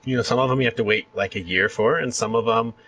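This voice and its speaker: A man hosting a podcast interview, chatting casually and acting out quoted reactions in an animated way. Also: medium pitch, quick pace, gravelly, a little hiss or hum, poor audio.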